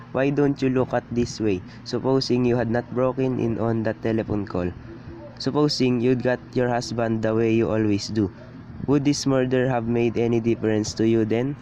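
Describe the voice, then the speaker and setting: A man's voice: medium pitch, dark tone, lo-fi sound, a little hiss or hum, close to an everyday voice. A man playing a skeptical, patronizing police desk officer in a radio play, matter-of-fact and dismissive.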